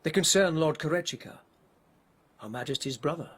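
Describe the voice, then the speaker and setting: Husky, terse palace policeman. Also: a little high, lively intonation, moderate pace, fairly bright tone, faint music behind. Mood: guarded, formal. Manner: terse, formal, guarded. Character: dutiful, stiff, exasperated palace policeman of old Japan. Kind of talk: radio drama acting.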